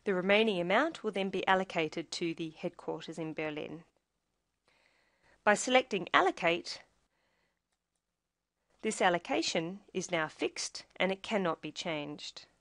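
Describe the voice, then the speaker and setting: A woman reading a scripted software tutorial, explaining each step in a measured, instructional way. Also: lowish voice, animated, no echo, studio quality.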